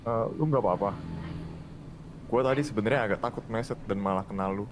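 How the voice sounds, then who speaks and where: A man playing a calm, reassuring dragon god in human guise in a game roleplay. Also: fairly low pitch, brisk, background noise, natural voice.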